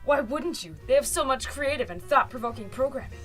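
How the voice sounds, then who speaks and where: A stern woman in charge of the police, with a fairly high voice. She sounds exasperated and no-nonsense, in radio comedy style over clear music. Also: lively intonation, brisk. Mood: dry, earnest. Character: exasperated, no-nonsense female police boss turned mayor. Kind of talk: comic radio drama acting.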